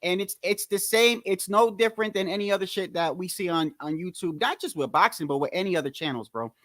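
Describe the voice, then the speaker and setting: High-voiced New Jersey man rambling on stream. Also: brisk, fairly bright tone, dry recording, studio quality. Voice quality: high-pitched voice. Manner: rambling, slangy, repeats himself. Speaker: boxing commentary livestream host. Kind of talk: casual livestream chat.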